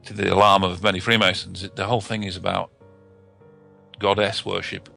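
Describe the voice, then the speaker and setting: A man speaking in a hesitant, halting way as he discusses esoteric symbolism. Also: low-pitched, level tone, vocal fry, pushed and bright, faint music behind.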